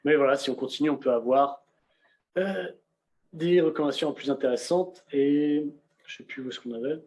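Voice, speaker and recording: A young man giving a casual on-screen software walkthrough, talking conversationally with frequent fillers and restarts, recorded on a computer mic. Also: on the high side, normal speed. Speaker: presenter of a software demonstration. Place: indoors at a computer.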